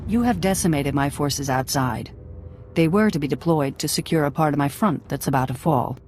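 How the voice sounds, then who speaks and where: A woman's voice: sits low, moderate pace, darkish tone, slight background noise, natural voice. A woman playing a hard-headed, proud military general in a video game, speaking in a commanding, pragmatic way. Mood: cool defiance. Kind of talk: video game dramatic acting.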